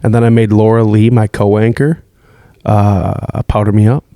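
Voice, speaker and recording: A young man hosting a podcast, asking questions in a low, calm voice and joking around with casual swearing. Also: unhurried, no echo, clean studio sound. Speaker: podcast host. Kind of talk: casual interview chat.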